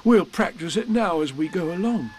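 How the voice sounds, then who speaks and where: Man doing a happy muddly teddy bear voice, kinda high and bouncy, slow and earnest like a bedtime story. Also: expressive, a little mellow, a little hiss or hum. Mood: cheerful. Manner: slow, cheerful, earnest, humming. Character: cheerful muddled honey-loving bear, male.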